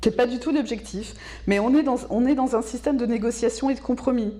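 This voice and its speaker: A woman giving explanatory interview answers as a specialist, in a measured, didactic way, repeating words for emphasis. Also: fairly high pitch, warm tone. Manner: measured, didactic, emphatic repetition, checks understanding with tags. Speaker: local government specialist being interviewed.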